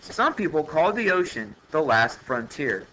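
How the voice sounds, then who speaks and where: Male educational narrator, very sharp bright tone, plain classroom reading, noisy home-made recording. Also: on the high side, very animated, measured pace. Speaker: teacher reading a textbook aloud. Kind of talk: educational read-aloud narration.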